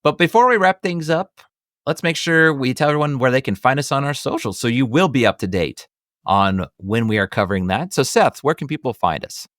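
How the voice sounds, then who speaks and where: A man's voice: medium pitch, expressive, a little fast, a bit bright, no room sound, studio quality. A man in his thirties hosting a podcast, chatting casually about films in a rambling, emphatic way. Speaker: podcast host. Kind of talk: casual film review chat.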